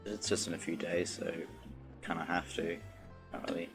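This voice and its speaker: A man casually commenting on a game livestream and reading out dialogue, with a gravelly voice. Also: low voice, steady tone, moderate pace. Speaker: game streamer. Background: game music.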